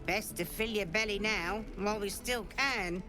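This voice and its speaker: A woman's voice: mid-range voice, moderate pace, music in the background, lightly acted. A woman playing a blunt but kindly camp refugee in a video game, plain-spoken and urgent. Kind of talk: video game character acting.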